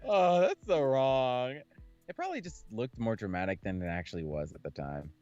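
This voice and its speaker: A man's voice: mid-range voice, very animated, normal speed, darkish tone, no echo. A man co-hosting a visual novel playthrough, his voice rising and falling freely as he narrates and reacts. He talks casually, with slang and swearing. The audio is a bit dull, with music underneath. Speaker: let's-play host reading a visual novel aloud. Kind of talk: casual co-host chat and narration of a game.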